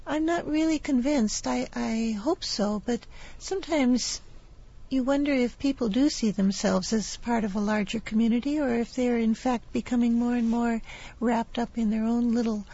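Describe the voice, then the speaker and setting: A woman's voice: on the high side, moderate pace, mellow. A woman speaking as a guest on a discussion panel, thoughtful and questioning. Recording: dull lo-fi broadcast recording.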